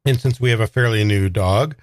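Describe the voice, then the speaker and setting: A man's voice: on the low side, a little fast, no room sound, studio quality. A man, chatting casually as a member of a podcast group. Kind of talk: casual chat.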